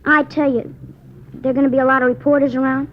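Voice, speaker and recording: A woman's voice: high-pitched, level tone, quick pace, natural voice. A woman playing a defiant, cocky small teenage boy with sullen, snappy American backtalk in a 1950s radio drama. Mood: insistent. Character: defiant, cocky small fourteen-year-old boy thief. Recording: muffled vintage radio recording with noise.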